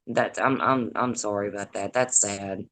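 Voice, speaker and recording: An older woman with a deep raspy voice and a Deep Southern accent, hosting a casual livestream chat in a blunt, profane, gossipy way. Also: steady tone, normal speed, a bit bright. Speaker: livestream host. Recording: noisy livestream audio.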